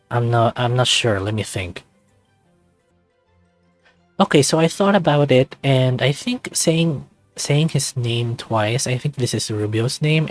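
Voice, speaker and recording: A man's voice: mid-range voice, no room sound. A man reading a visual novel aloud as a let's-play narrator, slipping into casual reactions. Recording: music playing behind.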